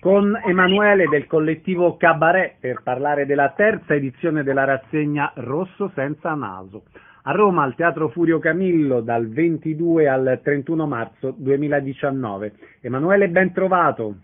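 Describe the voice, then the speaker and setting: A man's voice: fairly high pitch, animated, normal speed, soft background music. A man introducing and interviewing guests as a radio host. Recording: thin, phone-like band-limited sound.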